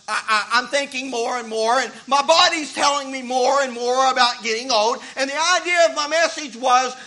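A man's voice: very high-pitched, normal speed, bright, forceful tone. A man, preaching a sermon in a folksy way that repeats words as he starts thoughts. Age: 50s. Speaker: pastor.

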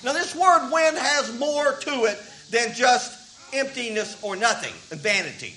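A man's voice: very high voice, animated, a little slow, bright, forceful tone, a little hiss or hum, low-quality recording. A man, preaching a sermon in a folksy way that repeats words as he starts thoughts. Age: 50s. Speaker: pastor.

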